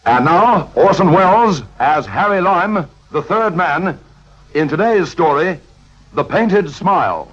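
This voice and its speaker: A man's voice: fairly high pitch, animated, slow. A man announcing an old radio drama in a formal, declamatory style. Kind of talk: 1950s radio show announcing. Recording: dull vintage radio, loud music. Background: loud zither music.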